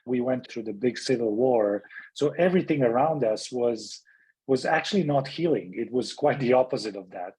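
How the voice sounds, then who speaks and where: A man's voice: medium pitch, expressive, moderate pace, darkish tone, slightly rough, poor audio. A middle-aged man from Serbia, a physician and health activist, speaking as an interview guest in a reflective, storytelling way with frequent restarts.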